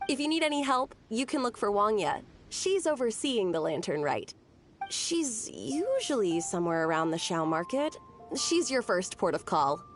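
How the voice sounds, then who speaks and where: A woman's voice: mid-range voice, very animated, normal speed, natural voice. A woman voicing a friendly, chatty townswoman who explains things helpfully in a video game. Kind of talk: video game character dialogue. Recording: music behind the voice.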